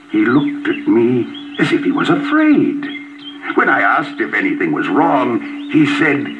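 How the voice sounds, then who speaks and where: A man's voice: medium pitch, muffled recording, background noise. Dramatic, swooping male ghost story narrator. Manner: theatrical, suspenseful. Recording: vinyl record with music. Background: music.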